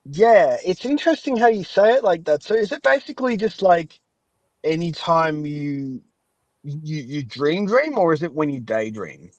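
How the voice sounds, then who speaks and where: A man's voice: on the high side, very animated, moderate pace, highs cut off. A man hosting a casual podcast interview, chatty and often echoing back what his guest has said. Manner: chatty, rephrases and echoes guest's answers.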